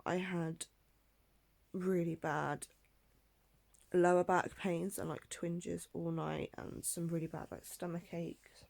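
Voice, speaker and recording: Soft, husky young woman, half-whispering. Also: on the low side, little pitch movement, slow, long pauses. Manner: soft, often half-whispered, with frequent ums and small laughs. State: bunged up, just woken. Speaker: pregnancy vlogger. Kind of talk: casual video diary.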